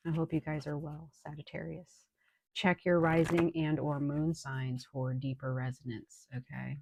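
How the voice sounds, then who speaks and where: A woman reading tarot for her viewers, slightly hoarse from a cold, unhurried and reassuring, with frequent ums. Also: deep voice, flat delivery, background noise. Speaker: tarot reader.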